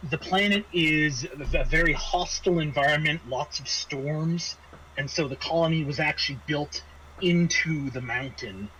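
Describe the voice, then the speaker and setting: A man running a tabletop roleplaying game, casually briefing his players in a halting, explanatory way with frequent hesitations and restarts. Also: mid-range voice, fairly bright tone, slight background noise, poor audio. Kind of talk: semi-improvised briefing to players, narrating a scenario.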